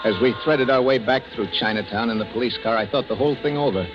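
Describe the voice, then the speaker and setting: A hard-boiled American man on the run played by an actor, tense and clipped in the manner of 1940s radio noir. His medium-pitched voice comes through a muffled vintage recording with prominent music. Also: fast, natural voice. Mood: tense, narrating. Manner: clipped, tense first-person storytelling.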